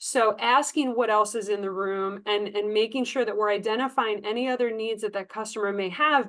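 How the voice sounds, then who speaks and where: A woman working as a sales trainer, talking staff through tips in a conversational, emphatic coaching manner. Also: medium pitch. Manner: coaching, emphatic, conversational, acts out example dialogue. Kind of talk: informal staff training talk.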